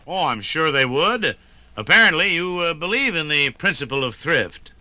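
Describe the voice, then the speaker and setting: Very bright, sharp-voiced American radio announcer. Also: male voice, a little high, big pitch swings, normal speed, no room sound. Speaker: radio announcer, sponsor pitchman. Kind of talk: 1950s radio sponsor skit.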